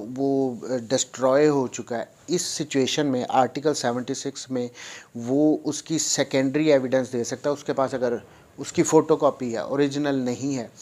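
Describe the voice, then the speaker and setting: A male lecturer with a Pakistani accent and a mid-pitched voice. He explains in a didactic way, repeating key points with emphasis and moving between his own language and English technical terms. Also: darkish tone. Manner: didactic, emphatic repetition, reading clauses out deliberately. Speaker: law lecturer.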